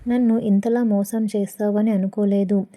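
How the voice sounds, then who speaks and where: A woman's voice: mid-range voice, little pitch movement, moderate pace, dark tone, natural voice. A woman with a Telugu accent voicing a grave, wounded young husband in an audio story. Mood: hurt. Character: wounded, principled young husband, software engineer.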